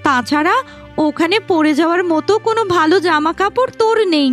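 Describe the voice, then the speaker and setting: A woman's voice: very high voice, a little fast, fairly bright tone, faint music behind. A woman voicing a vain, spiteful young village stepsister in a cartoon, haughty and scheming. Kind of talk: cartoon voice acting.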